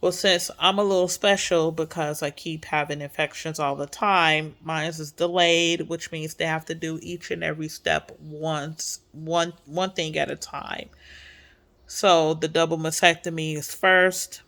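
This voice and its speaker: Woman vlogger, stuffy nose, slow unhurried delivery, soft and hesitant with frequent ums. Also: sits low, fairly even intonation. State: congested. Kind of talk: casual personal update.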